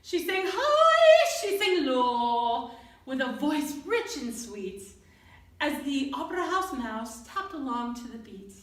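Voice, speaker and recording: A woman hosting a children's storytime, reading and talking to young listeners in an expressive way. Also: sits high, slow. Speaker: storyteller and children's session host. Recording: roomy, distant microphone.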